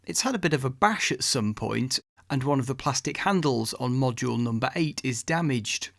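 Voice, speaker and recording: A man narrates a scripted voice-over in a mid-pitched voice with plenty of creak. The sound is close and very dry, with no room around it. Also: lively intonation, normal speed, fairly bright tone, dry recording.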